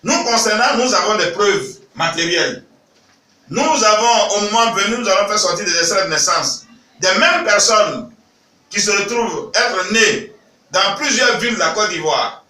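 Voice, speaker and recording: High bright man, echoey press room. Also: animated, moderate pace, far from the mic. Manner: emphatic, rising to shouts when stressing points. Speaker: civil society speaker at a press conference. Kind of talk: press conference statement.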